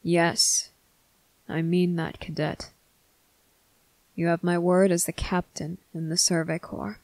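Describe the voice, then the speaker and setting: A performer plays a male vampire captain, stern yet quietly tender. The voice is slow and creaky, recorded very dry and intimate for ASMR roleplay. Phrases come clipped and deliberate, set apart by pointed pauses. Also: medium pitch, flat delivery, no room sound, rough recording. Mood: stern, reassuring. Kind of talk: ASMR roleplay addressed to the listener.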